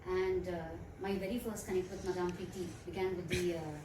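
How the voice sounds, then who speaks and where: A woman from India, a French teacher, speaking in a reflective and halting way with fillers. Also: fairly low pitch, little pitch movement. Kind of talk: recorded testimonial. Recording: echoey, distant mic with background noise.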